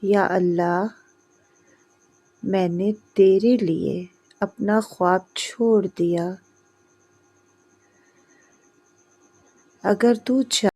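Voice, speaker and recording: A woman's voice: medium pitch, steady tone, warm tone, breathy edge. A woman narrator voicing a humble, pious poor man in a moral story, speaking in a pleading, reverent way.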